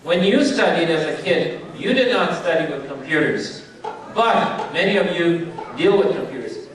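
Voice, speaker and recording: A man's voice: a little high, expressive, moderate pace, far from the mic. Man speaking in a very echoey hall. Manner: conversational, checks in with audience, many fillers. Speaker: speaker at a charity event. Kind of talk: informal speech to an audience. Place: event hall. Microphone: PA speaker, recorded from a distance.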